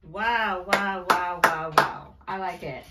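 A woman's voice: lowish voice, slow pace. A woman co-hosting a reaction video, chatting casually with her partner. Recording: echoey room with faint background noise.

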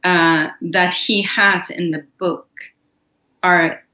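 A woman's voice: lowish voice, fairly even intonation, very slow, pushed and bright. A woman in her forties from Estonia, raised in Sweden, with a Swedish-accented English, talking thoughtfully with many fillers as an author guest over a video call. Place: room at home. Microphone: earphone headset mic on video call. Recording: roomy, slightly dull video call audio.

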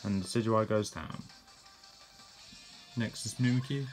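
A man giving a casual, relaxed play-by-play of his own game battle, correcting himself as he goes. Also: fairly low pitch, unhurried, faint music behind. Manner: relaxed, plain narration with fillers and self-corrections. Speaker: gameplay commentator.

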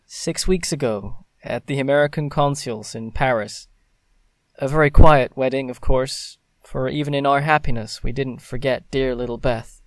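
An actor playing a playful, proud young newlywed gentleman in an audio drama, with wry formality. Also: mid-range voice, fairly even intonation, moderate pace, no echo, close to an everyday voice. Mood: fond, happy.

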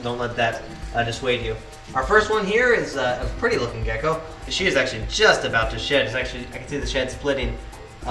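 A young man hosting a reptile vlog outdoors beside his car, talking casually and eagerly with lots of "uh" fillers. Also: mid-range voice. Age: young adult. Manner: enthusiastic, many "uh" fillers. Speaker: reptile keeper and channel host. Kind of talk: casual vlog showing animals. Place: outdoors by an open car on a dirt lot. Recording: roomy distant sound with music underneath.

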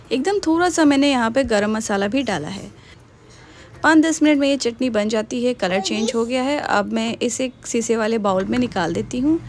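A young woman talks through her home cooking in a relaxed, chatty voice-over. Her voice sits a little high, and she slips English words in as she goes. A faint background hiss sits under her voice. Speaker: cooking vlogger. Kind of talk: casual voice-over narration of cooking.

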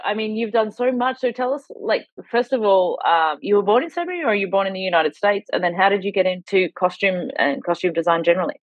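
A woman's voice: mid-range voice, animated, quick pace, hardly any pauses, no room sound, narrow, tinny audio. A woman podcast host reading a guest introduction and asking casual questions with fillers. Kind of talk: interview host.

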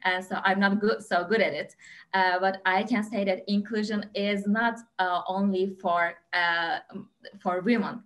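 A woman's voice: mid-range voice, steady tone, measured pace, fairly bright tone. A woman in her thirties from Turkey with a Turkish accent, speaking on an online panel over a video call, hesitant, with many fillers and restarts. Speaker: panelist, port captain. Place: home room with lamp.

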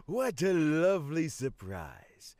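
An actor voicing a courteous, wise elderly male official in a game, speaking in a measured way. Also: a little high, darkish tone, no echo, full character voice. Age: older.

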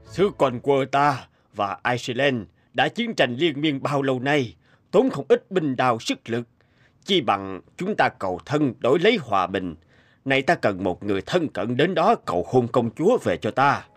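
A decisive, commanding king in a cartoon fairy tale. He speaks formally with varied intonation, and music plays clearly behind him. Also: mid-range voice, big pitch swings, normal speed. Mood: stately, commanding. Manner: formal, authoritative. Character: commanding middle-aged king, stately and decisive.

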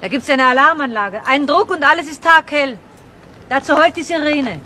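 An actress playing a brisk, self-assured woman at a garage business, speaking directly in a radio crime drama. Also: sits high, bright, forceful tone, slight background noise. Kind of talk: 1970s German radio crime drama acting.

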